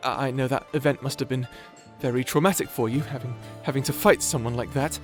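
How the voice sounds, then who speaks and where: A man's voice: medium pitch, steady tone, fairly quick, soft background music, natural voice. A man playing a shy, nerdy young wizard in a fantasy audio roleplay, stammering, bashful and apologetic. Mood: flustered, apologetic. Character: shy nerdy young male wizard, flustered, eagerly polite.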